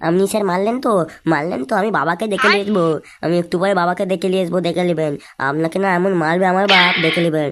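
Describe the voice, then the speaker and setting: A woman voicing a cheeky, slow-witted schoolboy in a comic cartoon, whining and protesting. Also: fairly low pitch, steady tone, noisy background, close to an everyday voice. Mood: aggrieved threats. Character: cheeky, slow-witted schoolboy pupil answering back to his teacher.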